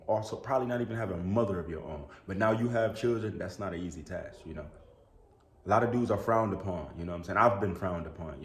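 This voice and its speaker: A man's voice: low voice, echoey room. A man, talking earnestly to camera indoors, repeating "bro", a little sick with sneezes. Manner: earnest and preachy, repeats "you know what I'm saying" and "bro". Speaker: YouTuber. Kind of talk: casual talk to camera.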